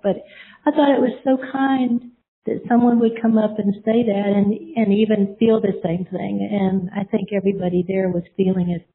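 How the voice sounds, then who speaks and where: A middle-aged woman sharing a personal testimony, telling her story earnestly and rambling, with many fillers and restarts. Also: mid-range voice. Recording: tinny phone-like line.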